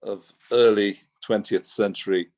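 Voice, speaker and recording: A man interviewing a guest, telling anecdotes in a relaxed conversational way. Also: on the low side, unhurried, lo-fi sound.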